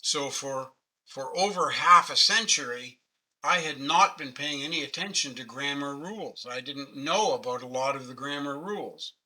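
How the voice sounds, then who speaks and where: Bright-voiced older Canadian man teaching. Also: medium pitch, normal speed, rough recording. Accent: Canadian English. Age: 60s. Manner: patient, conversational, self-deprecating. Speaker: webinar presenter. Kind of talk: casual online teaching. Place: home office. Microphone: webcam video call.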